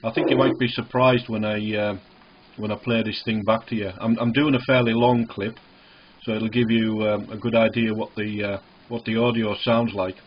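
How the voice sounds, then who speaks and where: A man's voice: lowish voice, little pitch movement, moderate pace, a little hiss or hum. A man speaking as an amateur radio operator in a casual, hesitant, reassuring on-air contact with call signs. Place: small indoor radio shack. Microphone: small camera near the radio's speaker. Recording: dull, muffled camcorder recording in a radio shack.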